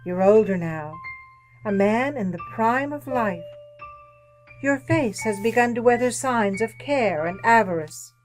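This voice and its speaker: A solemn female spirit in a radio play. She speaks very slowly at a middling pitch, probing and commanding, with music beneath her words. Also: animated, low-quality recording. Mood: grave, insistent. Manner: deliberate, questioning, commanding. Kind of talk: old-time radio drama acting.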